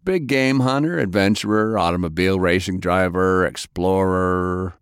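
A man's voice: low voice, moderate pace, smooth, no room sound, clean studio sound. A man voicing a brash, hard-drinking adventurer who talks in a boisterous, swaggering way. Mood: boastful. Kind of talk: audiobook character voice.

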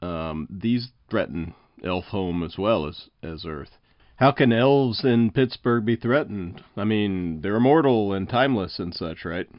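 A man's voice: lowish voice, expressive, unhurried, dry recording, lo-fi sound. A man hosting a podcast interview, speaking conversationally with prepared lead-ins to his questions.

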